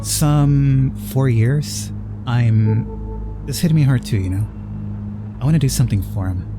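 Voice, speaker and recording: Man voicing ambitious female reporter, brisk, matter-of-fact, opportunistic, game dialogue, music behind. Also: medium pitch, voice pushed up, natural voice. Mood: confident. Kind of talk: reading game dialogue in character.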